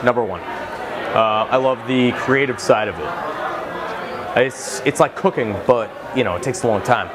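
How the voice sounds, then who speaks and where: A man's voice: medium pitch, unhurried, crowd noise, noisy background. A man from Maine, a brewer speaking enthusiastically and candidly in a documentary interview.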